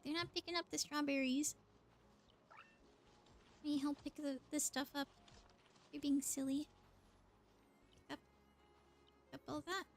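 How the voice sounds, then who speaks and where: A woman's voice: high-pitched, steady tone, unhurried, pauses often. A woman live-streaming a video game, chatting casually and thinking aloud as she plays.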